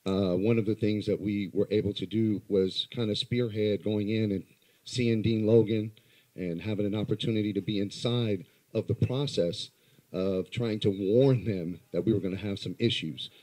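A man in his thirties from Los Angeles with a low voice, giving an earnest, halting speech into a handheld mic at a small indoor political meeting. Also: fairly even intonation, warm tone, rough recording. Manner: earnest, halting with many fillers. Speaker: community organizer. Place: small crowded indoor campaign office. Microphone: handheld microphone.

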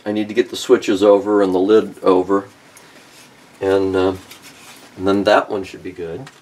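A middle-aged man narrating a repair at his workbench in a casual, thinking-aloud way with many ums and restarts. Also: lowish voice. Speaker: car restoration vlogger. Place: home workshop at a workbench.

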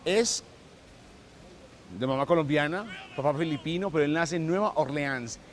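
A man hosting a TV interview outdoors in a courtyard, giving rapid, upbeat introductions and short questions on a lapel mic. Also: on the high side, slight background noise. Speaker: interview host. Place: outdoor courtyard with passing people and planes.

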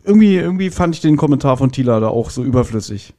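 A man's voice: medium pitch, expressive, fast talker, warm tone. A man speaking as a guest in a casual, conversational podcast review.

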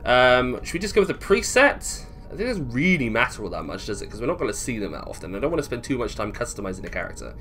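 A man's voice: medium pitch, very animated, fast, fairly bright tone. A man with a British accent giving casual, rambling playthrough commentary as a gaming video host, thinking aloud as he goes. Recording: game music behind voice. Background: video game music.

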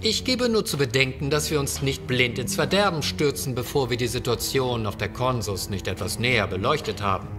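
A man's voice: mid-range voice, expressive, a little fast, hardly any pauses, music in the background, lightly acted. An actor playing a cautious, sceptical spaceship crewman in a sci-fi audio drama, formal and polite. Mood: worried objection.

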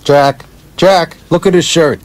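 A man's voice: a little high, slow. A man playing a cynical, wisecracking older screenwriter in a radio play, with a dry, sardonic manner. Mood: wry. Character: wisecracking, cynical older screenwriter who likes his drink.

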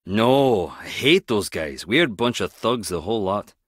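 An actor playing a wry, guarded skip tracer in a video game, teasing yet protective, speaking in a clipped, conversational way. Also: male voice, lowish voice, expressive, measured pace, a bit bright, no room sound, clean studio sound. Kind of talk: video game character dialogue.

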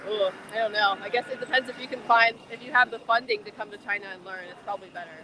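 A young woman from the United States, co-hosting a casual livestream outdoors, chatty and quick to laugh. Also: medium pitch, fairly even intonation. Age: young adult. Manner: chatty, laughs often, says like a lot. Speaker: livestream co-host. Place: busy city pedestrian street outdoors. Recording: clear street noise.

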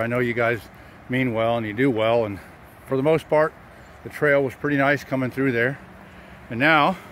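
A relaxed man chats to a handheld phone camera as he walks a wooded trail and crosses a road bridge. His voice sits at an ordinary middle pitch, and faint traffic hums in the background of the outdoor recording. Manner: relaxed, chatty, talking to camera while walking. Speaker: hiker vlogger. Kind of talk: casual hiking vlog narration.

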